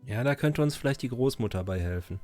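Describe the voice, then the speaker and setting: A man's voice: mid-range voice, expressive, fast talker, darkish tone. A man doing casual game commentary, thinking aloud in short, murmured, musing reactions. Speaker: Let's Play presenter. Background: game music.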